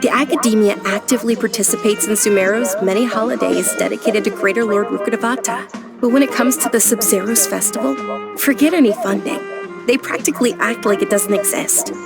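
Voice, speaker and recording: A woman's voice: mid-range voice, a little fast, gravelly, natural voice. A woman voicing an earnest, bookish young noblewoman. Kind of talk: video game voice acting. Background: game music.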